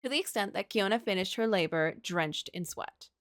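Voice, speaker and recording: A woman game streamer reading a visual novel aloud in an expressive storytelling way, with casual asides. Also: medium pitch, moderate pace, no echo, studio quality.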